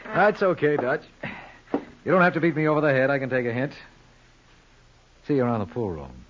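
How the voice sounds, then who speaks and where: An actor playing a shrewd, easygoing criminal lawyer with wry, bantering delivery in an old-time radio crime drama. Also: male voice, medium pitch, sing-song, moderate pace, long pauses, highs cut off, background noise, close to an everyday voice.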